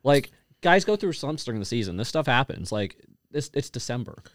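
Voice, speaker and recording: A man's voice: medium pitch, very animated, a little fast, dry recording, studio quality. A man co-hosting a sports podcast, casually debating and pressing his points insistently.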